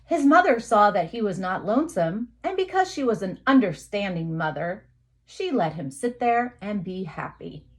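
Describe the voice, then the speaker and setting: A woman teacher reading a picture book aloud to young children, expressively, with dramatic emphasis, pauses and asides to her listeners. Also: medium pitch, sing-song.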